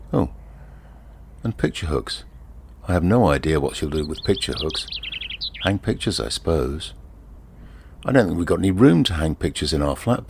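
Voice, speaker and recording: Very deep-voiced wry everyman, played by an actor. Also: slight background noise. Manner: wry, confiding, leisurely. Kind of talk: first-person dramatic monologue. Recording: outdoor ambience with birdsong behind. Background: birdsong and outdoor ambience.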